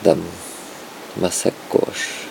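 A man giving a performative poetry reading, with a halting, dramatic delivery in short broken phrases and a gravelly, creaky voice. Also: background noise.

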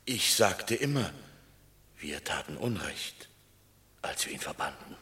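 An actor playing a rueful, anxious Roman citizen in a classical radio play, speaking with regret. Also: male voice, low voice, sing-song, pushed and bright, full character voice. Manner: slow, regretful. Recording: distant, echoey hall-like acoustic.

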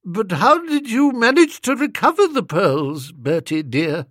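A male reader acts an older aunt in a comic storybook, grand but badly shaken. The voice lifts high and wavers up and down, faltering, in a dry close sound. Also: sing-song, measured pace, a bit bright, dry recording, exaggerated voice. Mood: shocked, feeble. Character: shaken, imperious older English aunt, now feeble.

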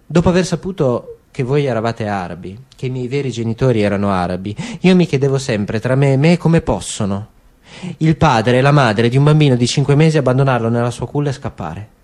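A man's voice: medium pitch, animated, brisk, a little mellow, lightly acted. A man voicing a tense young soldier in a radio drama reading, speaking stiffly, as if reciting a rehearsed speech. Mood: declaiming. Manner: stiff, declaiming.

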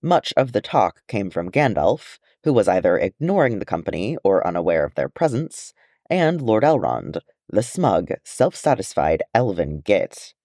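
A man reading fantasy fiction aloud as an expressive storyteller. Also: on the low side, moderate pace, dry recording, clean studio sound. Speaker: amateur audiobook reader. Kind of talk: expressive audiobook narration of fantasy fan fiction.

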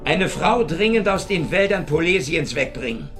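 An actor playing a cynical, streetwise Soviet secret police investigator in a German radio crime drama, sardonic, insistent and swaggering. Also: male voice, a little high, lively intonation, normal speed, over music, close to an everyday voice. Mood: needling, swaggering.

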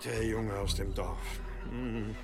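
An actor playing a stern, commanding medieval abbot in an audio drama, curt and menacing. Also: male voice, lowish voice, steady tone, slow pace, gravelly. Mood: suspicious, accusing. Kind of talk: German audio drama acting.

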